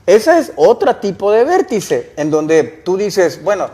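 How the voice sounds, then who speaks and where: Young man, guest, earnest storyteller, raised pitch. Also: very animated, a little slow, warm tone. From El Salvador. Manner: earnest, explains with rhetorical questions. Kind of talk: casual interview storytelling.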